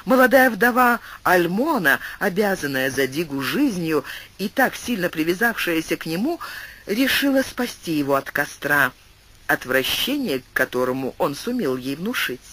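A man's voice: a little high, big pitch swings, moderate pace, sharp tone, a little hiss or hum. A man narrating a classic tale for an audiobook, reading expressively. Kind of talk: scripted narration.

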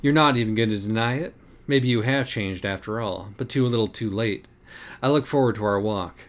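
A man's voice: lowish voice, moderate pace, natural voice. A man voicing a grandiose, taunting demonic cat in an audiobook, with pompous, theatrical delivery. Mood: smug taunting.

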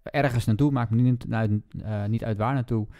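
A man's voice: mid-range voice, steady tone, normal speed, muffled recording. A man chatting casually as a podcast co-host, retelling a story and acting out bits of dialogue.

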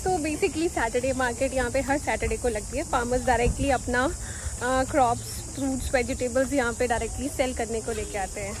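A high-pitched woman in her thirties chats her way through an open-air farmers market, explaining what things cost. Her voice comes off a phone held at arm's length, with loud crowd and vendor bustle all around. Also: steady tone, a little fast. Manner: chatty, explaining prices while shopping. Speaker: vlogger. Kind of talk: casual vlog narration. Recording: loud outdoor market noise.

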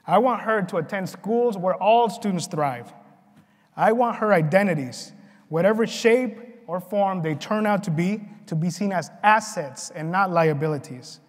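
A man with a notably high-pitched voice gives a prepared keynote address. His delivery is earnest and deliberate, set out in measured phrases. The sound carries the long reverb of a large conference hall. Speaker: keynote speaker. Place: large conference ballroom, on stage. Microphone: lapel mic at a podium.

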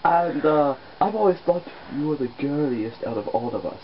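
A woman voicing a bossy, menacing, slightly dim muscle man in a put-on deep voice for a comedy skit. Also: lively intonation, moderate pace. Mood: taunting. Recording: muffled lo-fi audio, faint noise.

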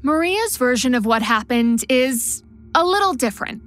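High-voiced woman narrating a true story. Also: expressive, a bit bright, no room sound, soft background music. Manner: measured, storytelling delivery. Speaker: podcast narrator. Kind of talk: scripted narration.

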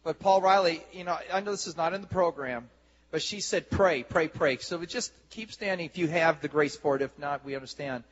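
A man hosting a church service on stage, rousing the congregation with exuberant, excited talk into a handheld mic. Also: on the high side, a little fast, a little hiss or hum. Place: large church sanctuary stage. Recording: lo-fi camcorder recording in a reverberant hall.

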